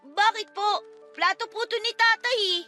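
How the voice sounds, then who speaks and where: A woman's voice: very high-pitched, moderate pace, sharp tone, soft background music. A woman playing an earnest, respectful young daughter who questions and pleads in a radio-style drama. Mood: bewildered. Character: young daughter, earnest, polite, devoted to father.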